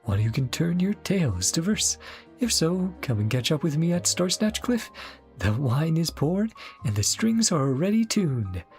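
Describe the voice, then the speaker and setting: A man's voice: a little high, very animated, moderate pace, a little mellow, faint music behind. A man voicing a playful, poetic bard from a game, speaking theatrically and affectionately to an old friend. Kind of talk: reading game dialogue in character.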